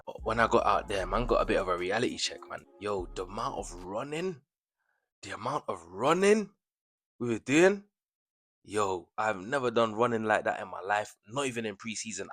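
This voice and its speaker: A young man from the UK talking casually to camera about his time playing football abroad, chatty and animated, with slangy asides to the viewer. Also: on the low side. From United Kingdom. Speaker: vlogger and footballer.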